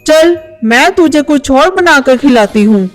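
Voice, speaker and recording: A woman's voice: sits high, expressive, measured pace, soft background music. A woman playing a caring, practical mother bird in a children's cartoon, with a motherly way of talking. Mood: indulgent. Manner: motherly, reassuring.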